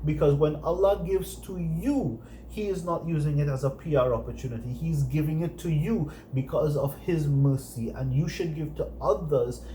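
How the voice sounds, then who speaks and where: A man's voice: on the high side, normal speed, hardly any pauses, mellow, slight background noise. Earnest man in an echoey room. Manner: earnest, urging, with many fillers. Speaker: host. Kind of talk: casual chat with religious reflection. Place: living room set, indoors.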